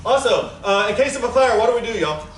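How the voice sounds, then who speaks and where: A man's voice: extremely high pitch, animated, fast talker. A man, chatting with a live theater audience as he gives house notes and introduces the cast, laughing between remarks. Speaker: producer introducing a table reading. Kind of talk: live casual announcements to an audience. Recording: very echoey room, distant mic, audible noise.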